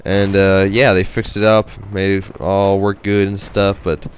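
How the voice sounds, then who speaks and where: A man's voice: sits low, monotone, unhurried, slight background noise. A man giving casual, rambling, unscripted game commentary, hesitant and nasal from a stuffy head cold. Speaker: gameplay commentator.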